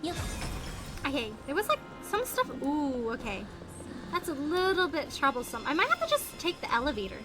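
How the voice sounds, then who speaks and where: A woman with a very high voice chats through a live game stream with music behind her. Her pitch swings widely as she thinks aloud, playful and quick to exclaim. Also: huge pitch swings. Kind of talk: casual gameplay commentary, chatting to viewers.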